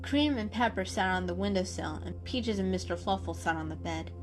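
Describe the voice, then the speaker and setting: A woman's voice: on the low side, brisk, soft background music. A woman narrating a children's story as a dramatic reading.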